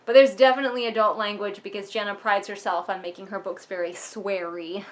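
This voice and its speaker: A woman's voice: mid-range voice, steady tone, a little fast. A woman hosting a book vlog, chatting casually to viewers and reading aloud with wry, sardonic asides. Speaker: book commentary vlogger and host.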